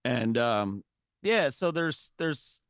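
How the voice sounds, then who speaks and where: A man's voice: big pitch swings, slow pace, dry recording, clean studio sound. A middle-aged man, a musician guest, chatting casually with lots of fillers and restarts. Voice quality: low singing voice. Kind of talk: casual interview chat.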